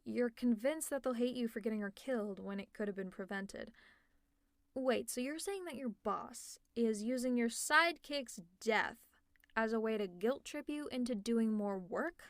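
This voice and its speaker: A woman's voice: on the high side, moderate pace, no room sound, studio quality, lightly acted. A woman playing a protective, teasing female villain in a scripted ASMR roleplay, with a sardonic, exasperated but caring manner. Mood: awkward, reassuring, then menacing, falsely calm. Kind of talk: scripted roleplay ASMR, one-sided dialogue.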